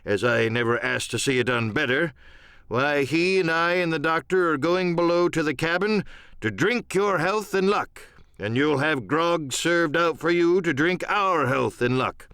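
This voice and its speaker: A man's voice: on the high side, a little slow, fairly bright tone, smooth, no room sound, studio quality, voice pushed up. A man playing a stern, plain-spoken middle-aged ship's captain in an audiobook drama, firm and clipped in manner. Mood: hearty, commanding. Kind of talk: audiobook radio-play character acting.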